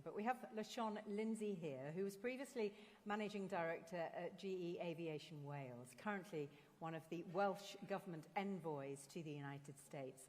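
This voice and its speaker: A woman hosting a conference, brisk and upbeat as she introduces the next speakers. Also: fairly low pitch, a little mellow. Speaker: event host. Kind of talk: stage hosting. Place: conference hall. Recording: long reverb in a large hall.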